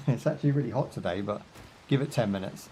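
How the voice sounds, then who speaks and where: A man's voice: lowish voice, quick pace, dark tone, a little hiss or hum, rough recording. A young British man chatting casually while he plays a game live, rambling through jokes, asides and fillers. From United Kingdom. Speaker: gaming streamer. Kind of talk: casual live gameplay commentary.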